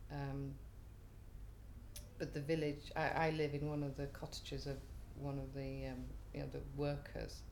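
A middle-aged speaker with a Welsh accent, whose first language is Welsh. They ramble through a relaxed interview with plenty of fillers and restarts. Their voice is gravelly and fairly even in pitch. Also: measured pace, slight background noise. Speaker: interviewee, community cafe organiser.

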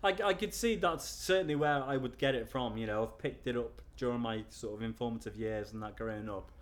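A man's voice: mid-range voice, a little fast. A middle-aged man from North Wales with a Scouse accent, talking casually in an interview and rambling with lots of fillers and restarts.

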